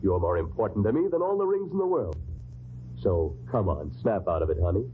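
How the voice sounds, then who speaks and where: An actor playing a devoted, eager young fiancé in an old-time radio drama, earnest and tender. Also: male voice, on the low side, very animated, fairly quick. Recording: tinny old radio broadcast, faint noise.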